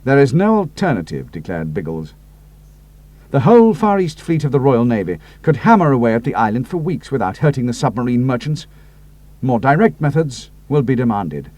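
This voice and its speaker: A man voicing a decisive air ace with a crisp, clipped and authoritative manner. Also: mid-range voice, very animated, normal speed, a little mellow, lightly acted. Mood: commanding. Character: commanding British air ace, decisive and brisk.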